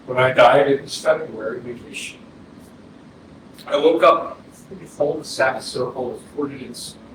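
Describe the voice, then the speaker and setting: A man's voice: mid-range voice, moderate pace, close to an everyday voice. A man acting a dead young man recalling his own life in a poetic staged reading. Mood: wistful. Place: indoor arts center stage, recorded from afar. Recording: distant, echoey hall with clear noise.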